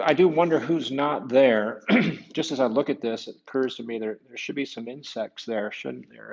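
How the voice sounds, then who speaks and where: Slow, creaky-voiced man reading a storybook. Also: medium pitch, a little mellow, dull audio. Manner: musing, halting asides. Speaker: priest reading a children's picture book aloud. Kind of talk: storybook read-aloud with casual asides to children.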